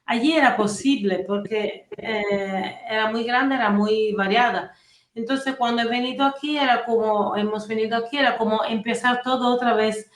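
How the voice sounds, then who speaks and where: A woman from Naples with an Italian accent, a native Italian speaker, telling personal stories as an activist guest in a casual interview, animated and full of restarts. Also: fairly high pitch, moderate pace. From Italy, Naples. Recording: roomy echoey room.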